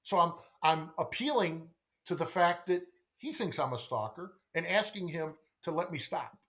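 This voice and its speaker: A man's voice: on the high side, expressive, moderate pace, fairly bright tone. A middle-aged man talking as a podcast guest and sales coach, emphatic, repeating phrases and posing rhetorical questions. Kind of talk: conversational podcast interview.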